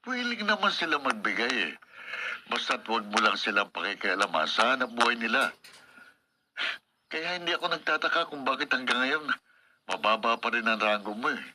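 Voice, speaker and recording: A man's voice: mid-range voice, sing-song, normal speed, natural voice. An actor playing a cynical, persuasive crooked policeman in a Filipino radio drama, with noisy broadcast sound. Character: corrupt, worldly male police buddy urging bribe-taking.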